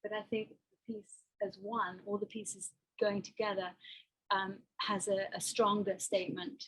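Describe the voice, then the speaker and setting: A woman's voice: mid-range voice, flat delivery, a little slow, fairly bright tone, breathy edge, low-quality recording. A woman artist talking through a slideshow of her work, hesitant and thoughtful, with many restarts. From Botswana. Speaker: artist giving a talk. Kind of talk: presenting a slideshow talk.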